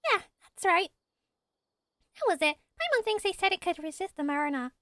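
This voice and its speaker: A woman voicing a small, excitable fairy companion from a game, childlike and exclamatory. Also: extremely high pitch, normal speed, dry recording, clean studio sound. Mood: anxious, excited. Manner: speaks of self in third person, exclamatory. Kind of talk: fan voice-over of game dialogue.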